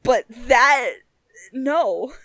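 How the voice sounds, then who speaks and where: A young woman with a fairly high voice, close and dry in the recording. She co-hosts a casual chat, talking in an emphatic, animated manner with plenty of loose swearing. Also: drawn-out words, no room sound. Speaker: podcast co-host.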